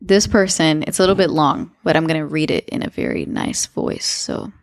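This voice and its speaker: Low-voiced woman chatting casually. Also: huge pitch swings, moderate pace, vocal fry, dry recording, studio quality. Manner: chatty, lots of fillers. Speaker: podcast co-host. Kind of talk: casual chat.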